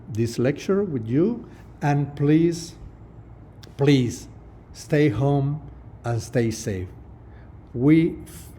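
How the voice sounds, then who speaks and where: A middle-aged man whose first language is Spanish, giving a webinar lecture as a prosthodontist in halting English with many restarts. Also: mid-range voice, warm tone, a little hiss or hum. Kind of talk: webinar lecture over slides.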